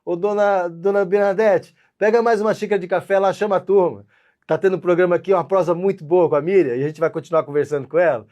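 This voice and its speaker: A man's voice: high-pitched, steady tone, quick pace, darkish tone, lo-fi sound. A man hosting a casual talk show in a folksy, chatty way. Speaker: host.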